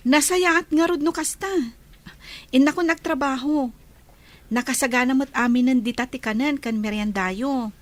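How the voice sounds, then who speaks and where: A caring grown daughter in a village radio drama, played by a woman. She speaks attentively and politely in a high voice and has an Ilocano accent. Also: normal speed, natural voice.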